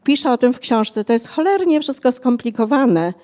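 A woman's voice: on the high side, lively intonation, moderate pace, mellow. An older woman, an author being interviewed on a bookshop stage, explaining at length with many hesitations, speaking into a handheld mic. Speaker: author guest. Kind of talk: conversational interview. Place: bookshop cafe stage, indoors.

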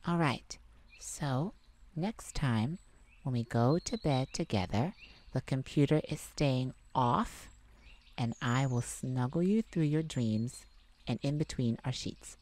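A woman voicing a sweet, caring boyfriend in an intimate ASMR roleplay, tender, gently teasing and coaxing. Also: very deep voice, takes it slowly. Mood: earnest, caring. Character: sweet, caring young boyfriend comforting his partner.

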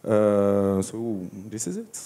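A man's voice: on the low side, very animated, slow, warm tone. A man in his thirties with a French accent, giving an informal talk as a panelist on an indoor stage with a handheld microphone. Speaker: panelist, community project founder.

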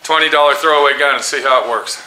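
Bright-voiced man in an echoey paint booth. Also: on the high side, a little hiss or hum. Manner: plain-spoken, instructive, hurried while spraying. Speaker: auto painter demonstrating tools. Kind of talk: hands-on tool demonstration. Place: small paint booth indoors.

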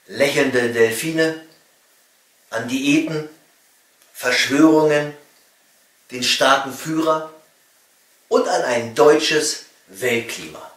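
A middle-aged man from East Germany reading a satirical comedy monologue with wry emphasis and pointed pauses. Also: mid-range voice. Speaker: cabaret comedian and author. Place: small home study, indoors. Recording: roomy echo, distant microphone.

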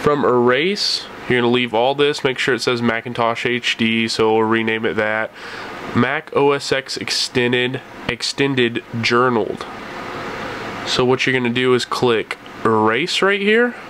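A man's voice: mid-range voice, measured pace. Male tutorial host, mid-range voice, informal instructional delivery, faint room noise behind. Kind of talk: casual step-by-step screen tutorial. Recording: noisy room with steady hum.

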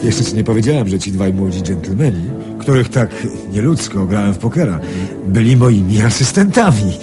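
An actor playing a sly, smug card-sharp recounting his scheme in a radio drama. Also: on the low side, expressive, normal speed, natural voice. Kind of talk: radio drama acting, storytelling. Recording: music playing behind the voice.

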